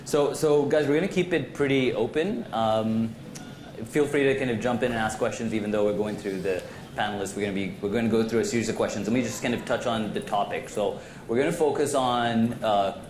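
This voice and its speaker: A man's voice: on the low side, a little mellow. A man moderating a conference panel in a casual, loose way with many restarts. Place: conference hall stage. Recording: echoey hall, faint noise.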